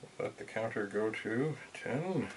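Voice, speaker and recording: A middle-aged man giving a casual hands-on demonstration, musing to himself in a halting way. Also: fairly low pitch, expressive. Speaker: vintage computer hobbyist and video host. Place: cluttered indoor home room. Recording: clear background noise, equipment clicks.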